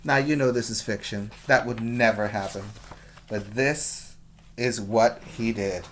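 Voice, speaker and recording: A man reading a picture book aloud to young children as their teacher, with expressive, deliberate emphasis. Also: on the low side, a little hiss or hum. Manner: slow, deliberate, with dramatic emphasis.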